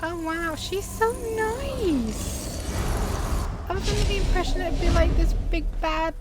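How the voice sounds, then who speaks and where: A woman's voice: very high-pitched, expressive, unhurried. A woman doing casual let's play commentary, reacting to the game in a light, chatty way. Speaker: gaming video creator. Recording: clear game music behind voice. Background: game music.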